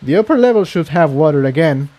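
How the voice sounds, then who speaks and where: Man voicing an earnest, animated young unicorn engineer. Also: on the high side, quick pace, pitched above the performer's own voice, lightly acted. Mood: confident. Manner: matter-of-fact explaining.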